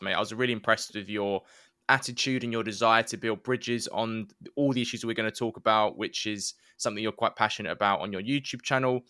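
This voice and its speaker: A man's voice: fairly low pitch, pushed and bright, no room sound. Friendly male podcast host, dry sound. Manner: friendly, partly scripted, calls guest mate. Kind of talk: podcast interview.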